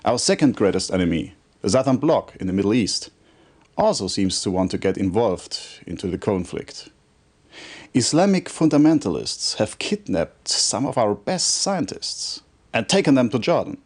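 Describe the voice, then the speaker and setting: An actor playing a stern, formal military commander who briefs an officer in a measured, authoritative way for a video game cutscene. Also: male voice, fairly low pitch, very animated, a little mellow, slightly rough. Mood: stern, urgent. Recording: compressed old game video audio.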